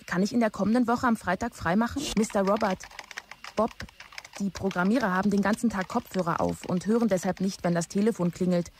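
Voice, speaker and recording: An actress playing a dutiful office assistant in a German audio drama, giving plain, report-like messages. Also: female voice, mid-range voice, fairly quick, a little hiss or hum, close to an everyday voice. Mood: matter-of-fact. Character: dutiful, matter-of-fact female office assistant.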